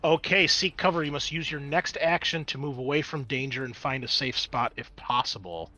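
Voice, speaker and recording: A man's voice: medium pitch, normal speed. A man acting as game master of a tabletop roleplaying game, talking casually and muttering asides as he works the game. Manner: casual swearing, muttering asides while working the game software. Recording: music behind the voice. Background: music.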